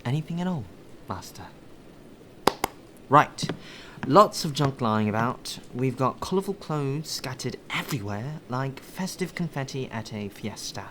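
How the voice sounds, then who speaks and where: A man acting as a polite, deferential male butler in a soft, formal ASMR audio roleplay. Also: mid-range voice, lively intonation, background noise, natural voice. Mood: obliging. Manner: formal, deferential, measured, sighing.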